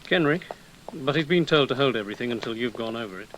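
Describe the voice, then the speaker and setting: An actor playing a grave intelligence official in a radio drama, briefing tersely. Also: male voice, medium pitch, lively intonation, fast, a bit bright, a little hiss or hum, close to an everyday voice, rough recording. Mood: grave, urgent.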